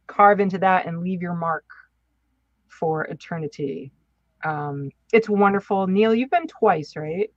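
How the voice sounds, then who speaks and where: A woman's voice: lowish voice, no echo, lo-fi sound. A woman co-hosting a podcast in casual, chatty and informal style, speaking with enthusiasm. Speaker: podcast co-host.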